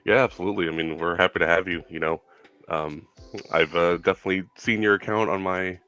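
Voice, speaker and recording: Deep-voiced young man hosting a stream. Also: a little fast, clear, resonant tone, soft background music. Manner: relaxed, uses many ums and likes. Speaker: stream host. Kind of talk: casual stream Q&A.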